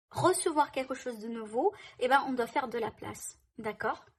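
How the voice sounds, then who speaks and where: A woman doing a tarot reading for her viewers, speaking in a reassuring way, often checking in with "hein" and "d'accord". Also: fairly high pitch. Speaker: tarot reader.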